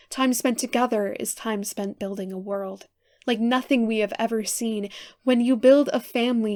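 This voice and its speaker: A woman reading her poems aloud for an audiobook, with an earnest, measured delivery. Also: a little high, darkish tone, no echo, clean studio sound. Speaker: poetry reader. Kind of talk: poetry audiobook recitation.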